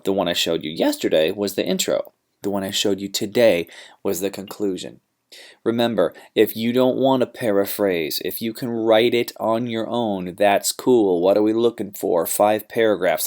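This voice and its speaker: Low-voiced, theatrical male teacher. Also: darkish tone. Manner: informal, theatrical, slangy, emphatic. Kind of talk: classroom lesson on paraphrasing and essay writing.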